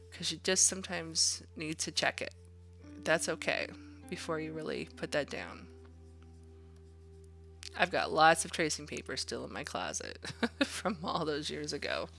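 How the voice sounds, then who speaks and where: Bright, low-pitched woman teaching drawing. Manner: encouraging, thinking aloud while drawing. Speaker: art instructor. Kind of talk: casual drawing demonstration narration.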